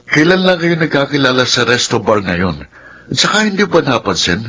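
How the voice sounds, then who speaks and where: An actor playing a frank, worried Filipino friend in a radio drama, scolding and probing in an exasperated way. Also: male voice, medium pitch, sing-song, brisk, bright, forceful tone, slight background noise. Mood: probing, suspicious.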